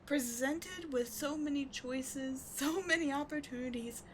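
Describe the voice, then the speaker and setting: A woman's voice: high voice, a little slow, a little hiss or hum. A woman playing a bitter, passionate villain with secret devotion, speaking in a theatrical, intense, emphatic way in a dramatic audio roleplay. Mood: bitter, scornful. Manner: theatrical, intense, emphatic, with sighs and dropped whispers. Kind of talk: dramatic audio roleplay monologue addressed to the listener.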